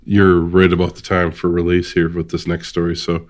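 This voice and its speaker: A man's voice: sits low, monotone, normal speed. A man hosting a podcast, chatty and joking with his co-hosts. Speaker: podcast host. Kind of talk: casual chat.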